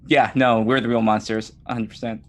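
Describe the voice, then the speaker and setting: A woman playing a tabletop roleplaying game, chatting in a wry, halting way and repeating her phrases. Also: extremely low pitch, steady tone, a little hiss or hum. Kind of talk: casual banter.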